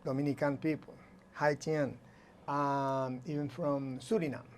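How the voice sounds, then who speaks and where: A middle-aged man from Aruba, explaining things haltingly in English as an interview guest. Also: a little high, little pitch movement, a little hiss or hum. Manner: halting, searching for English words. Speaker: visiting music teacher and guest.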